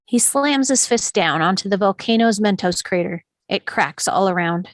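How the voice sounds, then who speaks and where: A woman narrator reads out scene directions from a script. Her voice sits at a middle pitch, dramatically engaged, and the sound is dry with no room around it. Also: lively intonation, moderate pace, dry recording. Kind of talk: scripted narration at a table read.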